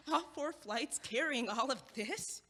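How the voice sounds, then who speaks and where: An actress as a blunt, teasing female friend in an audio play. Her voice is sharp and raspy, her remarks short and wry, in a reverberant hall. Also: high voice, lively intonation, slow, heavily acted. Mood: wry amazement. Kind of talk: audio play acting, comedic dialogue. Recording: echoey hallway-like long reverb.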